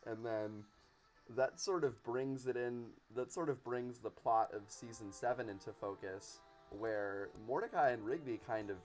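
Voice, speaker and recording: A man with a medium-pitched voice chats in an enthusiastic, rambling way. He sounds like a podcast guest in casual conversation, with game music playing faintly underneath. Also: a little mellow. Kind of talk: casual chat about cartoons.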